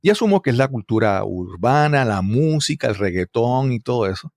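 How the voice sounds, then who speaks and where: A man's voice: mid-range voice, sing-song, normal speed, darkish tone, no room sound. Rambling male host, dry. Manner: conversational, rambling, repeats words. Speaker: interview host. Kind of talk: casual interview conversation.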